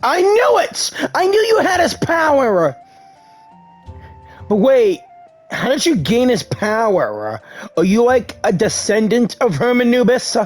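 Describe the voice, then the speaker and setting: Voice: mid-range voice, big pitch swings, takes it slowly, soft background music. A gamer giving casual, enthusiastic commentary and talking back to the game's characters. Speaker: gaming content creator. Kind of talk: casual reactive game commentary.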